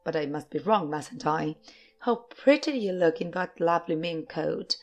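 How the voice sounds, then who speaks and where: A woman voicing an effusive, excitable little eccentric male factory owner in a high, fluty storybook voice. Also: soft background music. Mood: gushing delight. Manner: effusive, exclamatory, polite, rapid repeated phrases. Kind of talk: storybook character voice.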